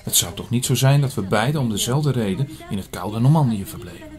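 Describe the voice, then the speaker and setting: A man playing an earnest priest in an audio drama, telling his story in a measured, formal way. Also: mid-range voice, lively intonation, a little mellow, close to an everyday voice. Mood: guarded, curious. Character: earnest male priest investigating an apocalyptic prophecy. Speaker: narrator and lead actor. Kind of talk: scripted audio drama, first-person narration. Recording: music under the voice.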